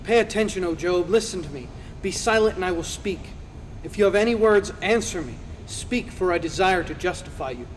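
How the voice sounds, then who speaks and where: A man's voice: high-pitched, expressive, fairly quick. A man playing an earnest male counselor who rebukes and lectures in a declamatory dramatized scripture reading. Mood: insistent. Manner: declamatory, preaching. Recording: reverberant hall, faint background noise.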